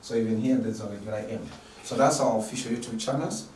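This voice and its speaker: Tanzanian-accented male lecturer, earnest and halting, mid-pitched voice, recorded from a distance in an echoey room. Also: a little mellow. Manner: repetitive, earnest, halting with many fillers. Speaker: online math lecturer. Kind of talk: lecture introduction. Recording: distant mic in an echoey room with faint noise.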